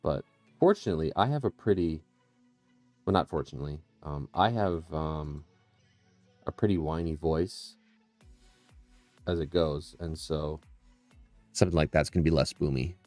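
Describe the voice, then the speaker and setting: Low-voiced man chatting on a painting stream. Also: moderate pace, long pauses, a little mellow, dry recording, soft background music. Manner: rambling, self-interrupting, explains as he works. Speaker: livestream host and digital painter. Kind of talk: casual live-stream chat while painting. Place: small room with tile floors. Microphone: close condenser mic with processing.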